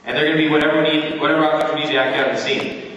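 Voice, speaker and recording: A man's voice: on the high side, fairly even intonation, rapid-fire, fairly bright tone, a little hiss or hum. A young man hosting a live improv show, taking suggestions from the audience and setting up scenes. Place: large hall with a live audience. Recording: echoey hall recorded from far off, dull sound. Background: audience chatter and laughter.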